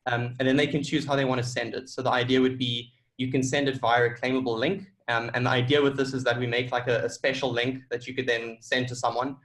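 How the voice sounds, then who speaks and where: A man gives an informal conference-call presentation about a tech project. His pitch is middling and stays fairly flat from phrase to phrase. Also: quick pace, hardly any pauses, low-quality recording.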